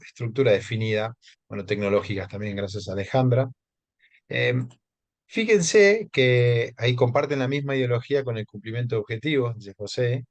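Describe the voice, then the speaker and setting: A man's voice: on the low side, no echo. A man from Argentina with an Argentine accent, presenting a live webinar, speaking conversationally with frequent hesitations and questions to the audience. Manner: conversational, hesitant with many fillers, keeps asking the audience.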